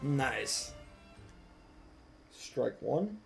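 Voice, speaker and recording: A man streaming a video game, giving casual, expressive live commentary with some swearing. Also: medium pitch, extremely slow, gravelly, over music. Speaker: gaming streamer.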